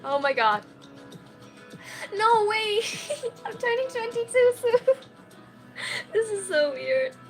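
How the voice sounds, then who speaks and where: A woman's voice: extremely high pitch, sing-song, slow pace, long pauses, faint music behind. A woman of twenty-one chatting casually on a livestream, answering viewer questions in a giggly way full of "like" and "um". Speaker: streamer and college student.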